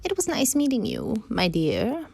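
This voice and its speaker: Woman acting a sly, sugary storybook wolf, pitched up. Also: wildly swooping pitch, a little slow, creaky, a little mellow, slightly rough, full character voice. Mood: sly, coaxing. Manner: coaxing, sugary. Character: sly, sweet-talking male wolf villain, feigning kindness.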